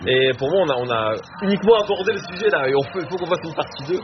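A man hosting a live football show in casual chat, lively and full of fillers and restarts. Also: fairly high pitch, racing. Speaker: host of a live match show. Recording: muffled stream with background noise.

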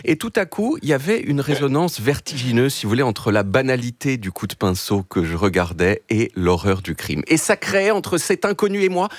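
A man's voice: medium pitch, big pitch swings, fairly quick, few pauses, dry recording. A man in his forties delivering a wry, self-mocking humorous column on the radio with a storytelling manner. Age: 40s. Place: radio studio. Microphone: close studio mic. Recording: dry studio sound.

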